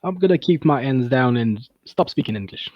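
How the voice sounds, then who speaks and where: A man's voice: mid-range voice, expressive, moderate pace, no room sound, lightly acted. Guy putting on a russian soldier, blunt broken english, thick accent, kinda dull muffled sound. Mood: earnest. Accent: put-on Russian. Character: earnest Russian soldier man, blunt, mangles English idioms. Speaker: tabletop game player.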